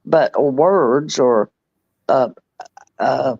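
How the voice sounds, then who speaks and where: A woman's voice: very deep voice, drawn-out words, gravelly, warm tone. A woman from North Carolina with a Southern accent, chatting casually in a sing-song, drawling way and calling people honey. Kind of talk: casual chat. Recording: dull lo-fi sound.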